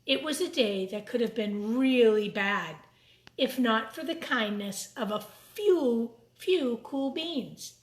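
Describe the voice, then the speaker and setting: Female storytime reader: high voice, unhurried, expressive, addressing young kids, in a roomy, echoing space. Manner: expressive, simple asides to young listeners. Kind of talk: picture-book read-aloud for children with asides. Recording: roomy, echoing room sound.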